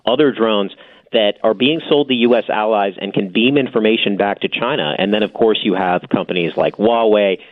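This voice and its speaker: A man reporting as a defense correspondent, explaining policy in a measured, explanatory way. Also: on the low side, phone-quality sound. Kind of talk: news analysis.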